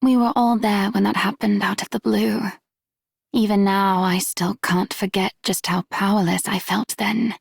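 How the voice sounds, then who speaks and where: A woman's voice: mid-range voice, fairly even intonation, moderate pace, vocal fry, slightly rough, no echo, studio quality. A woman playing a grave, concerned video game character with a solemn, probing manner.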